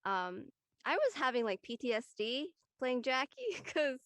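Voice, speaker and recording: A woman's voice: sits high, very animated, unhurried, fairly bright tone, dry recording, studio quality. A young woman, an actress talking casually in a panel discussion. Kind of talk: casual chat.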